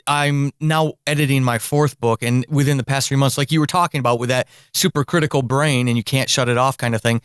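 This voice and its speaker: A man's voice: medium pitch, fairly even intonation, a little fast, hardly any pauses, clean tone, no room sound, studio quality. A man hosting a conversational interview podcast, thinking aloud and rephrasing as he goes. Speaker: podcast host.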